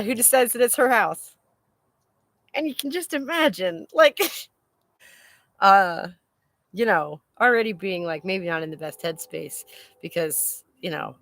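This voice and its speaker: A woman chatting casually as host of a live stream, rambling in an animated, exclaiming way with casual swearing. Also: mid-range voice, no echo.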